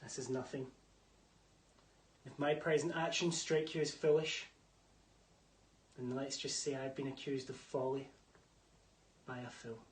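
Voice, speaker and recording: A young man performing classical theatre monologues in a declamatory style, swinging between loud outbursts and hushed whispers. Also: mid-range voice, level tone, a little slow, long pauses. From Scotland. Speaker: drama student performing monologues. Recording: distant mic with faint room noise.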